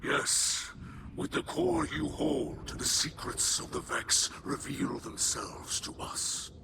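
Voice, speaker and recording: Creaky, solemn alien leader. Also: male voice, very high voice, huge pitch swings, very noisy, full character voice. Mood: urgent, grave. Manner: formal, measured. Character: alien Eliksni leader, gracious, solemn, weary refugee.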